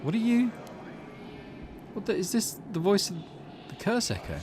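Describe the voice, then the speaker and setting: A man voicing a boastful, hot-headed curse bearer in a visual novel, expressive and blustering. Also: high voice, moderate pace, background noise, pitched above the performer's own voice. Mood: alarmed.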